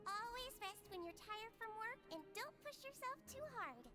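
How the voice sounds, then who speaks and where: A woman voicing a small, gentle, caring creature who works as a nurse in a video game, soft and childlike. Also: extremely high pitch, expressive, moderate pace, music in the background.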